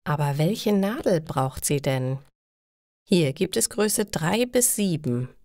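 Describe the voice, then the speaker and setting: A woman reading simple stories for language learners as a narrator, in a slow, careful storytelling style. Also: low voice, expressive, darkish tone, no echo, studio quality.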